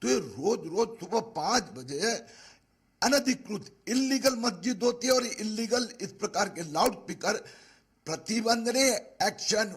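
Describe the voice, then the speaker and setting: Very husky, high-voiced Indian man. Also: animated, measured pace. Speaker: politician.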